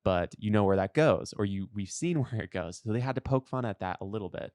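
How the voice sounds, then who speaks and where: A man with a slightly low voice chats casually as a podcast co-host. His manner is informal and agreeable, and the studio sound is dry and close. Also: brisk, darkish tone, no echo. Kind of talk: casual chat.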